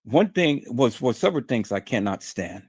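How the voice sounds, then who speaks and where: A man's voice: medium pitch, very animated, normal speed, breathy edge, no room sound. Emphatic man lecturing his viewers, dry. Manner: emphatic, preachy, repeats words. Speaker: live-stream host. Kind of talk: casual monologue to viewers.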